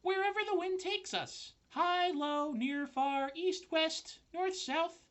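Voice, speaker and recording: A man voicing tiny, cheerful, polite baby spiders in a squeaky, chirpy voice while reading a children's book aloud. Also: takes it slowly, fairly bright tone, exaggerated voice.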